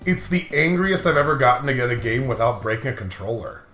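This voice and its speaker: A man's voice: medium pitch, sing-song, quick pace, a bit bright. A man casually bantering and telling gaming stories in an emphatic, exasperated way. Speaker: host of a gaming commentary video. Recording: roomy sound with music behind.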